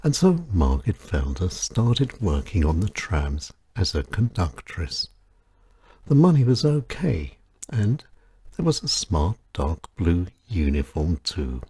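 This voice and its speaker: A man reading his own short stories aloud in a gentle, measured storytelling manner with lilting emphasis. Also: sits low, very animated, breathy edge. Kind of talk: scripted narration of short stories.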